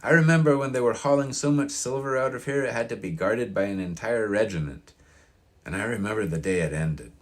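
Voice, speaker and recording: A man's voice: lowish voice, a little fast, voice pushed up, close to an everyday voice. A man voicing an opinionated elderly small-town local in an audiobook, with a grating, folksy, emphatic delivery. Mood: proud, reminiscing. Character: crusty old mountain-town man, proud, opinionated, wistful. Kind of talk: audiobook character reading.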